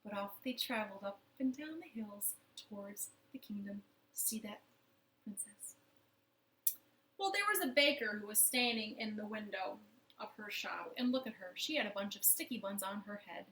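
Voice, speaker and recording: A woman's voice: medium pitch, lively intonation, moderate pace, a bit bright. A woman telling a children's story expressively from her living room, speaking directly to young listeners. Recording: roomy, echoing home living room.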